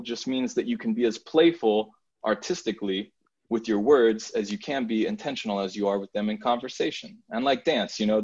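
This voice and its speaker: A man with a low, breathy, husky voice moves from a rhythmic freestyle flow into earnest, reflective talk. The recording sounds dull and lo-fi. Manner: rhythmic rhyming flow, then thoughtful and earnest. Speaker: guest artist.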